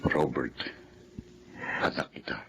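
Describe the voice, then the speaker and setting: A man acting an older, loving, forgiving father in a radio drama, with a Filipino accent and measured, heartfelt delivery. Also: whispered, natural voice. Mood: solemn, forgiving.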